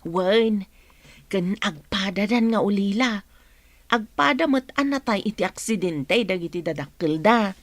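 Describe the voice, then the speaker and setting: An actress playing a blunt, gossipy female household helper in a radio drama, talking in an animated way. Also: mid-range voice, measured pace, dry recording, natural voice. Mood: gossiping. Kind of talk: Ilocano radio drama acting.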